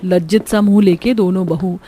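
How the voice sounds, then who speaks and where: A woman's voice: lowish voice, steady tone, normal speed, warm tone, slight background noise. A woman giving scripted narration as the storyteller of a family tale.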